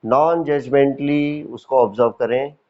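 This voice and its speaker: A man with an Indian accent leading a guided yoga nidra meditation as a teacher, calm and instructive, with deliberate pauses and repetition. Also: mid-range voice, muffled recording.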